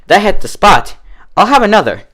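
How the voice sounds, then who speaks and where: A high, swooping and loud young pegasus mare played by a man, cocky and boastful as the cider takes hold. She is an excitable cartoon show-off who pushes every line. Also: brisk, heavily acted. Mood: delighted. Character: brash, cocky young female cartoon pegasus getting drunk.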